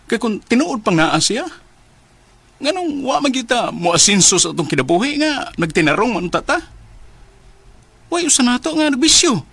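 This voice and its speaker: An actor playing a weary, despairing jobless Filipino husband in a Cebuano radio drama, sighing and anguished. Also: male voice, high-pitched, fairly bright tone, slightly rough, no room sound, heavily acted. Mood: anguished, bitter. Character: despairing jobless husband losing his faith.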